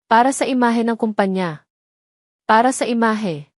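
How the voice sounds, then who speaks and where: A woman's voice: on the high side, moderate pace, no echo, clean studio sound, natural voice. A woman voicing a cold, wealthy businessman husband in an audio story, curt and commanding. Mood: cold, irritated.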